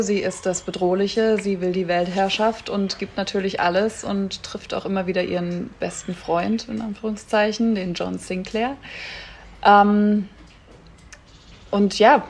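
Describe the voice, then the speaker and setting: Woman chatting in an interview, faint background noise. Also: mid-range voice. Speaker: stage actress, interview guest. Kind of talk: casual interview chat.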